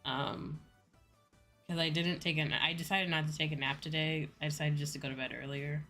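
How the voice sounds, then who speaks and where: A woman's voice: sits low, fairly even intonation, a little fast, sharp tone. A woman chatting casually to her livestream viewers in a rambling way, peppered with "like" and casual swearing. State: sleepy. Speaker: VTuber livestreamer; school teacher. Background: music behind the voice.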